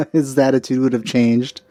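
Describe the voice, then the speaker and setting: A man's voice: medium pitch, measured pace, dark tone. A man giving casual let's-play commentary as he plays a video game. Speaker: game streamer.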